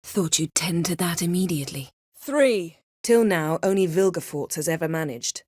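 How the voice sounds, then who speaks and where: Proud sorceress for a game, lowish female voice, cool n clipped, sardonic, dry close recording. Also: animated, moderate pace, a little mellow, no room sound. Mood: cool, composed. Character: proud, commanding sorceress, sharp-tongued and guarded. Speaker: video game character. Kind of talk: scripted game dialogue acting.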